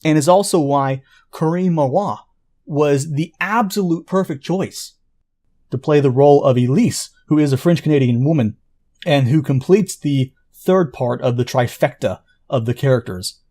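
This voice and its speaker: A man who writes an audio drama, explaining it in an earnest, informal pitch. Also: normal speed, mellow.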